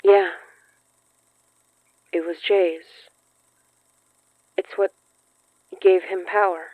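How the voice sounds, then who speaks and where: A young summoner of ghosts in a horror audio drama, heard through a narrow, phone-like radio filter. The delivery is slow, halting and earnest, with a gentle, reassuring manner and a dry, close sound. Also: female voice, fairly low pitch, fairly even intonation, dry recording. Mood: awed, earnest. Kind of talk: intimate audio drama acting. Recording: thin, phone-like band-limited sound.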